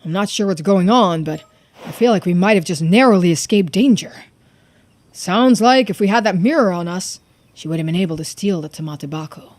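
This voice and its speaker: A woman voicing a kind, earnest gentleman hero in a video game, theatrical and quietly resolute. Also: lowish voice, animated, a little fast, no room sound, lightly acted. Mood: puzzled, thoughtful. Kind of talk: video game character dialogue read-aloud. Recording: music behind the voice.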